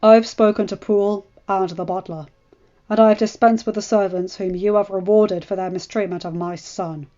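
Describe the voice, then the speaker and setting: A woman voicing a stern, furious middle-aged lord who speaks in cold, clipped lines and rises to shouts, in a dull lo-fi recording with faint music. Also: medium pitch, fairly even intonation, moderate pace, natural voice.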